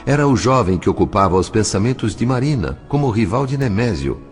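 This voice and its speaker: A man's voice: fairly low pitch, very animated, brisk. A man narrating a scripted audio drama in a formal, solemn, literary manner. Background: music.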